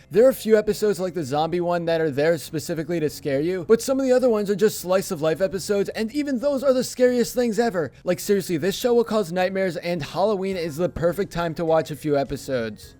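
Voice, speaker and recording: High male voice, young adult, upbeat video host, scripted cartoon commentary, light music underneath. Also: darkish tone. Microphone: close USB condenser mic. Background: background music.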